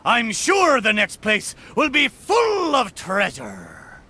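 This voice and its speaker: Animated, blustering boat. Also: male voice, high voice, background noise, full character voice. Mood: sheepish, boastful. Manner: blustering, drawn-out exclamations, pirate talk. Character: talking pirate boat, hearty, excitable old seafarer. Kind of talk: theatrical video game character acting.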